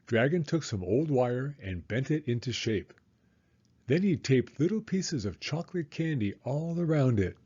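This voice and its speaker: A man reading a storybook aloud to young children in a deliberate, expressive storytelling way. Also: medium pitch, breathy edge, highs cut off.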